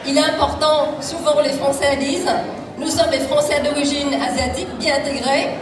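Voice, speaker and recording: A woman's voice: high-pitched, little pitch movement, moderate pace, noisy background. A woman giving an earnest, emphatic speech as a member of parliament at a public event. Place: large hall at a celebration. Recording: echoey hall, far from mic, crowd.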